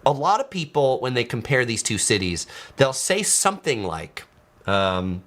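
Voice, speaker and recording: American male travel vlogger, animated pitch, loose chatty delivery with lots of fillers; live-stream host reading comments. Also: normal speed. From San Diego, California, USA.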